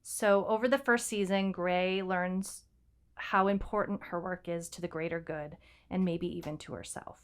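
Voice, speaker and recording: A woman with a smooth, slightly low voice talks as a podcast co-host. Her delivery is casual and explanatory, in everyday informal language. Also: steady tone, normal speed. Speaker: podcast co-host and fiction writer.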